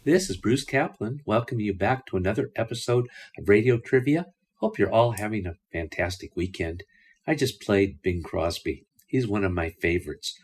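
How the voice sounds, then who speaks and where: A man hosting a radio show in a plain, conversational, unhurried way with a husky voice. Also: fairly low pitch, lively intonation.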